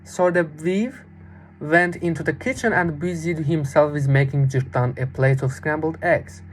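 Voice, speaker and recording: A young woman with a fairly low voice narrates a children's fairy tale. She reads deliberately and expressively, as a student storyteller. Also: slight background noise. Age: young adult.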